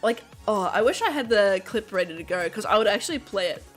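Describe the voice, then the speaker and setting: Woman, casual Australian accent, streamer chat, giggly, swears a lot, mid-pitched voice. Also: expressive, brisk, soft background music. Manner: chatty, laughs often, swears freely. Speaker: VTuber streamer.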